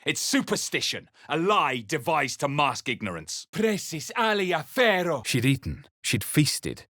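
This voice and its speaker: A grave fantasy rpg hero, husky low voice, really expressive and dramatic. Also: male voice, no echo, clean studio sound, exaggerated voice. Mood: incantation, forceful. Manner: grave, deliberate, theatrical delivery. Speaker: video game player-character voice actor. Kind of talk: dramatic scripted game narration and voice lines.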